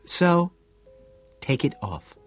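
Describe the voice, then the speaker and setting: A man's voice: medium pitch, sing-song, measured pace, faint music behind, pitched above the performer's own voice. A man voicing an irritable, exasperated young woman with gasping, shrieking indignation in an audiobook. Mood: curt, impatient.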